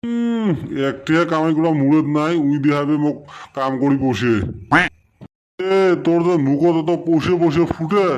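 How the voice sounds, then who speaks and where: A man's voice: fairly high pitch, expressive, normal speed, darkish tone, clear, resonant tone, lo-fi sound, lightly acted. A man playing a grumpy, stingy middle-aged village father who scolds and grumbles in a comic cartoon. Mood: irritated.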